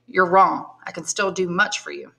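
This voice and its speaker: A woman's voice: lowish voice, normal speed, vocal fry, pushed and bright. A woman reading aloud and voicing a young woman, an earnest and worried granddaughter pleading with her grandfather. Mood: tender.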